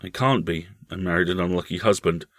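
A man voicing a bitter, evasive mother who gives measured, resigned answers in a storybook reading. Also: deep voice, no echo, clean studio sound.

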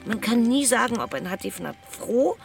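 An actress playing a motherly troll who tells old tales in a children's radio play, sometimes hushing to a whisper. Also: a little high, expressive, normal speed, gravelly. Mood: storytelling. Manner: storytelling, hushed at times. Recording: loud music bed under dialogue.

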